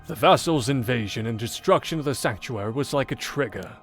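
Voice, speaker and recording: A man voicing a game character who recounts an old legend in a measured, formal, theatrical way. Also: mid-range voice, expressive, fairly bright tone. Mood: grave, dramatic. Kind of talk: dramatic scripted visual novel storytelling. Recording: game audio with background music.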